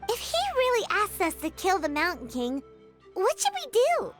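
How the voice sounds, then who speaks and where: A woman voicing a small, excitable, childlike video game companion who is earnest and exclamatory. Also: very high-pitched, moderate pace, soft background music, full character voice. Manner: exclamatory, stammering when flustered. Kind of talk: animated video game character acting.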